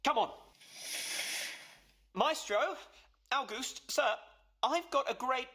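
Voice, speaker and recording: An actor in a radio play voicing a posh, eager young suitor, effusive, flustered and deferential. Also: male voice, very high-pitched, animated, slow, pushed and bright, full character voice. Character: eager, gushing, posh young fiancé wooing his father-in-law.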